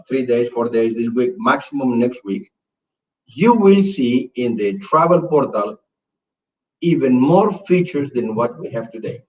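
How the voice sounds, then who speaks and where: A man co-hosting a business webinar in casual chat, excitable and quick to jump in over the others. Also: medium pitch. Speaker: company executive co-host. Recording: distant mic, dull lo-fi sound.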